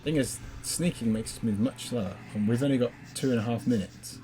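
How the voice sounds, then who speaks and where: A man's voice: medium pitch, fairly quick, mellow, rough recording. A man playing a video game and co-hosting, giving casual commentary with playful, wry banter. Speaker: let's play player and co-host. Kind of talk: casual joking gameplay commentary. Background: faint game music.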